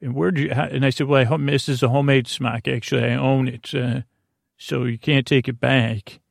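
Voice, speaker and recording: Rambling, creaky-voiced man hosting a bedtime podcast. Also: medium pitch, fairly even intonation, no echo, clean studio sound. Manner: meandering, halting, with trailing tangents; soothing, reassuring. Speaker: podcast host. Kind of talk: rambling bedtime story monologue.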